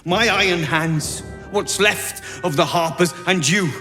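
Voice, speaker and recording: A man playing a weary, wry gnome resistance leader in a video game, speaking gravely. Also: sits high, unhurried, sharp tone, faint music behind. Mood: grim, wry.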